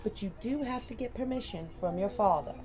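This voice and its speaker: A woman's voice: medium pitch, fairly quick, low-quality recording. A woman running a tabletop game as game master, narrating in a chatty, rambling, self-deprecating way.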